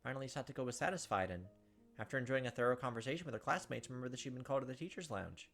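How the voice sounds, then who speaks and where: A man's voice: mid-range voice, animated, rapid-fire, gravelly, a bit bright, faint music behind. A man hosting a gaming video, reading visual novel narration and commenting casually.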